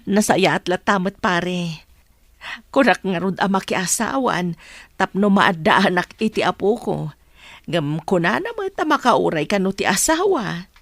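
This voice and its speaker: A woman's voice: medium pitch, lively intonation, unhurried, a bit bright, no room sound. A woman playing a caring, long-suffering middle-aged mother in a radio drama. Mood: concerned.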